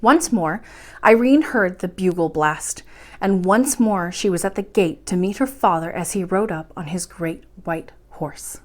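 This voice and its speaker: A woman's voice: lowish voice, measured pace. A woman reading a children's story aloud expressively, as the host of a bedtime storytime.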